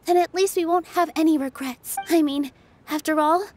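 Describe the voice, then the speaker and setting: An actress playing a gentle, determined young dancer in a video game, soft-spoken, polite and sincere. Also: female voice, sits high, fairly even intonation, normal speed, slight background noise, close to an everyday voice. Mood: earnest, determined. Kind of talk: video game character acting.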